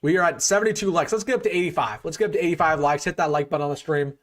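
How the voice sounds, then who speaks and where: A man's voice: a little high, racing, a bit bright. A young man hosting a casual live sports stream, opinionated, full of restarts and fillers, his voice getting a bit worn. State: losing his voice a little.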